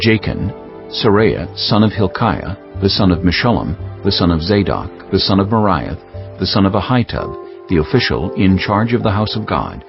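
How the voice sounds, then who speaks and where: A man giving a measured, solemn scripted reading of scripture as an audiobook narrator. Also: lowish voice, creaky. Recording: dull lo-fi audio with music behind. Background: prominent music bed.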